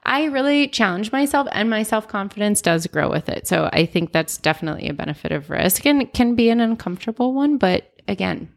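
A woman's voice: medium pitch, expressive, fairly quick, gravelly, hardly any pauses. A young adult woman, co-hosting a casual podcast conversation in an upbeat, reflective way. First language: English. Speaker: podcast co-host.

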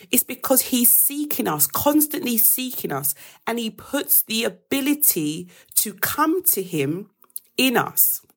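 A woman with a croaky voice hosting a live daily devotional, praying and then teaching a Bible verse in a casual, chatty way. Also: on the high side. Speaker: devotional host.

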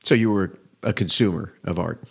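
A man's voice: low-pitched, normal speed, gravelly, slightly rough. A man co-hosting a podcast, introducing the guest and asking questions in a friendly, partly scripted manner. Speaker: podcast co-host. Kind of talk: interviewer.

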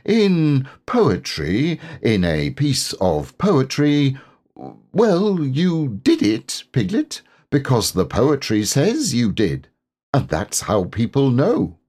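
A man voicing a gentle, earnest, slightly shy male bear in a storybook, speaking thoughtfully and a little hesitantly. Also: medium pitch, wildly swooping pitch, slow, pitched above the performer's own voice, full character voice. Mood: kindly, reassuring.

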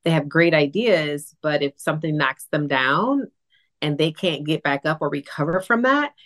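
A woman's voice: low voice, moderate pace, a bit bright. A woman hosting a conversational podcast interview, speaking as a coach in an encouraging, affirming way. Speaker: podcast host, business coach.

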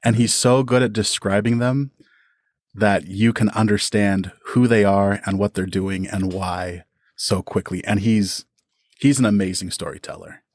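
Articulate podcast guest around thirty, lowish pitch. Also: fairly even intonation, measured pace, dry recording, clean studio sound. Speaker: interview guest, audio drama podcast creator. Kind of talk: thoughtful conversational interview answers.

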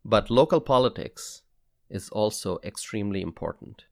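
A man with a Bangladeshi accent hosting a solo political podcast, speaking in a deliberate, emphatic way and repeating phrases for weight. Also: lowish voice, lively intonation, vocal fry, a little mellow, no room sound, clean studio sound.

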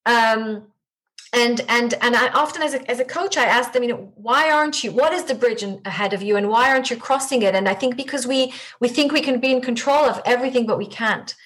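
A woman's voice: fairly high pitch, fairly bright tone. A woman from Israel with an Israeli accent, Hebrew her first language, speaking as a podcast guest and coach in a reflective way with frequent restarts. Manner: reflective, halting with many restarts. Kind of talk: podcast interview conversation.

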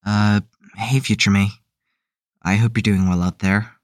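An actor playing an uneasy young male psychiatric patient in an audio drama, halting and unsure as he talks. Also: fairly low pitch, fairly even intonation, dry recording, clean studio sound, lightly acted. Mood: uneasy, reflective. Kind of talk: audio drama acting, confiding.

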